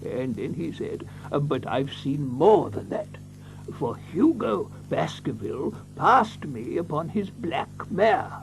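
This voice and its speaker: A man playing a fussy, excitable country doctor in a radio drama, stammering and repeating himself as he dithers. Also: fairly high pitch, takes it slowly, gravelly, soft background music, full character voice, poor audio. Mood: dramatic storytelling. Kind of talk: theatrical radio drama acting.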